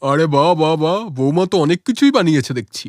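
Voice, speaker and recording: A cheerful village family man played by a male actor in a cartoon. His voice sits on the high side and is recorded dry, with a coaxing, appreciative manner. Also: expressive, fast, no room sound, lightly acted. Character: cheerful food-loving village menfolk, sons and father, eager, coaxing.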